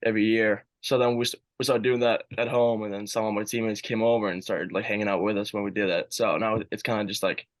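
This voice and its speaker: A man's voice: on the low side, level tone, fast talker, hardly any pauses, no echo. A young man from Sweden, a student athlete chatting casually and rambling with lots of "like". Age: young adult. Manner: rambling, says "like" a lot. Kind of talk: casual interview answers.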